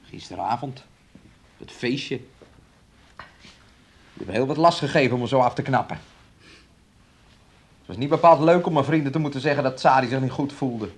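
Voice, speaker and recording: Radio drama: domineering man, mid-pitched, curt, impatient, callous toward his partner. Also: normal speed, pauses often, slightly rough. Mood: sullen reproach. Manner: brusque, impatient, refers to himself in third person. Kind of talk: radio play acting.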